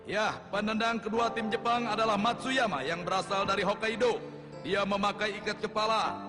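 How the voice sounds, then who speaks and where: A man playing an excited football match commentator in a dubbed cartoon. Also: sits high, moderate pace, lightly acted. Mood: eager. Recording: long stadium reverb, music and crowd behind.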